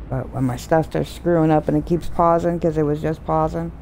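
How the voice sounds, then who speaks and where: A middle-aged woman streaming a game from home on a desk mic, talking softly in meandering, complaining asides. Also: sits low, fairly even intonation, a little fast, a little hiss or hum, low-quality recording. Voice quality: soft voice. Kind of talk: rambling casual commentary. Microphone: desk mic with headphones. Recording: loud game music behind voice. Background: game music.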